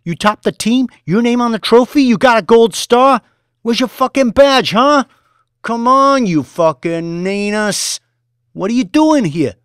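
A man voicing a volatile, bullying young finance boss who shouts and swears at his staff. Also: fairly high pitch, very animated, moderate pace, no echo, clean studio sound, voice pushed up. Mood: raging. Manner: shouting, swearing, aggressive New York street talk.

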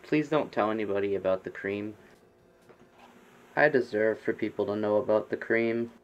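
A man's voice: lowish voice, steady tone, moderate pace. A young adult man giving casual, joking gameplay commentary, often repeating his phrases over and over. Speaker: game streamer. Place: bedroom, indoors. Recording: dull, lo-fi webcam stream audio.

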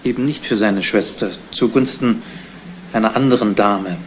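A man's voice: lowish voice, normal speed, slight background noise, lightly acted. An actor playing a level-headed, businesslike male investigator in a 1970s crime radio play, speaking in a clipped, matter-of-fact way. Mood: curt, discreet.

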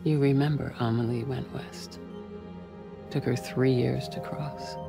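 A dying, elderly female president in a cinematic game. She speaks haltingly with earnest, pleading resolve, while music plays clearly behind her. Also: rumbling low, steady tone, full character voice. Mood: urgent, pleading. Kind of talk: cinematic video game acting.

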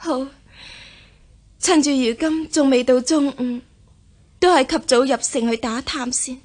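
An actress playing a resolute, devoted young gentlewoman in a historical radio drama. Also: high voice, measured pace. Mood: anxious, longing. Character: resolute, devoted young daughter of a gentry household.

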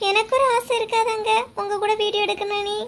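A woman's voice: extremely high pitch, little pitch movement, a little fast, fairly bright tone. A woman voicing a whiny, pleading young wife. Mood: sulking. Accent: Kanyakumari Tamil. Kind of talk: cartoon comedy voice acting.

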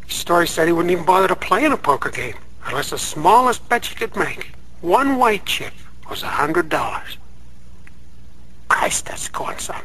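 An actor playing a meek, dreamy American night clerk in a radio drama, earnest and naive in manner, star-struck by gamblers. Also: male voice, fairly high pitch, sharp tone, a little hiss or hum, poor audio.

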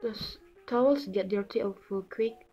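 A woman's voice: mid-range voice, measured pace, warm tone, faint music behind, lightly acted. A young woman playing a loyal, defensive farmer's wife in an amateur student drama. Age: young adult.

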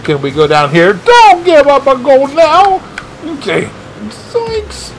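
Man, gaming streamer, slow-talking, wildly animated pitch, excitable commentary. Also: slight background noise, low-quality recording. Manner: excitable play-by-play with shouted catchphrases. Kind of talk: live gameplay commentary.

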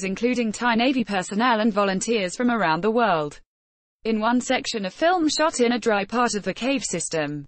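Female news voice-over, steady read, dry close recording. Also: mid-range voice, dry recording. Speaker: news reader.

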